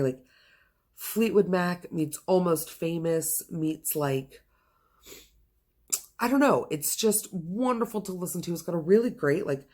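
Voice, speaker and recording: Low-voiced woman gushing about books. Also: darkish tone. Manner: gushing, emphatic enthusiasm with drawn-out stressed words. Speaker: book reviewer and video host. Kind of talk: casual book chat to viewers.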